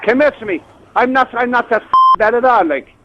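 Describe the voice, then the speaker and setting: High-pitched, indignant Cork man on phone. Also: lively intonation, fairly quick, heavy background noise. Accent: Cork, Ireland. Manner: indignant, blunt, sweary. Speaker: prank call victim. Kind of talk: heated phone conversation. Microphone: telephone. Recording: muffled band-limited phone line.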